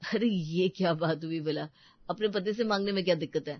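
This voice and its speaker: A woman's voice: lowish voice, brisk, no room sound, lo-fi sound, natural voice. A woman voicing a baffled young husband who pleads and placates in a family story. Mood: baffled placating. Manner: pleading, puzzled. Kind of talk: Hindi family story acting.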